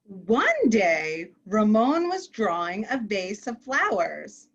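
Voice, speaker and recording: A woman's voice: medium pitch, wildly swooping pitch, slow, breathy edge. A woman guest reader on a children's story show, reading a picture book aloud with expression. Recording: muffled lo-fi audio.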